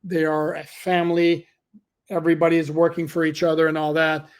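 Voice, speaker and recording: Man, higher pitch, flat delivery, podcast host, casual filler words, voice cracking. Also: a little fast, clean tone. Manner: conversational, fillers, self-correcting. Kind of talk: solo sports podcast commentary.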